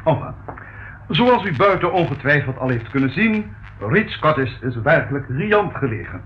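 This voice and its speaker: A man's voice: a little high, sing-song, moderate pace. An actor playing a fussy, easily scandalised male estate agent in a Dutch radio play, with formal, pompous patter. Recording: muffled old radio recording, faint noise.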